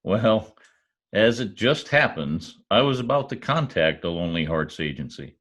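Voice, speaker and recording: A man acts out a wisecracking detective in a radio play. His voice sits slightly low and swings widely in pitch, glib and easygoing in its banter. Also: sing-song, moderate pace, a bit bright, full character voice. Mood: teasing. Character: breezy wisecracking male private detective. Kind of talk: old-time radio detective drama acting.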